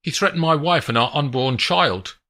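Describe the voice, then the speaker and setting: A man's voice: fairly high pitch, normal speed, bright, forceful tone, voice pushed up. A man voicing a guarded former hitman who speaks in short, clipped questions in a thriller audiobook. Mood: tense. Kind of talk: audiobook character voice.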